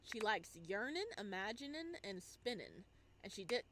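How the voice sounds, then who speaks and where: A woman's voice: medium pitch, very animated, normal speed, a bit bright. Woman reading game text aloud, noisy background. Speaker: host of a game playthrough. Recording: clear background noise under the voice.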